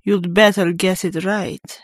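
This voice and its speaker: A man's voice: high-pitched, level tone, slow pace, no room sound, clean studio sound, voice pushed up, heavily acted. A man voicing a mocking young female Sith villain with a snide, teasing delivery.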